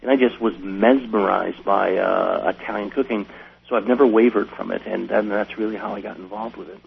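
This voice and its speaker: An American man tells stories casually as a guest, halting and restarting as he goes. His voice sits fairly low with a gravelly creak. The recording is dull radio audio with a faint hum. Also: steady tone. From Wisconsin, United States. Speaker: chef, interview guest. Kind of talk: casual interview storytelling.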